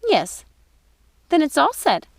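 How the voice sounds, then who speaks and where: A woman voicing a greedy, childish witch sister with a shrill squeal. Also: huge pitch swings, slow, vocal fry, full character voice. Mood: gleeful.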